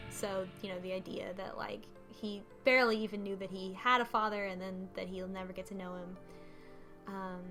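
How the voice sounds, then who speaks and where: A woman's voice: mid-range voice, fairly bright tone. A woman co-hosting a podcast, casually retelling a story from notes in an informal, sweary, mock-dramatic way. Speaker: podcast co-host recapping a game.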